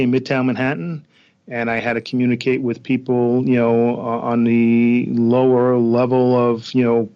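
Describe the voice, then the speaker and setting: Through a slightly muffled, lo-fi connection, a middle-aged New man talks as a podcast guest. His pitch is average, and he speaks plainly and haltingly, with plenty of filler phrases. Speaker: interview guest, accountant and former police officer. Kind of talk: casual interview talk. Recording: dull, lo-fi remote connection.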